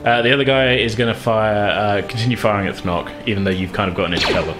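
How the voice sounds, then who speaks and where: A man with a fairly deep voice runs a tabletop game as game master. He explains things informally and narrates the action casually. Music plays clearly behind him. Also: fast.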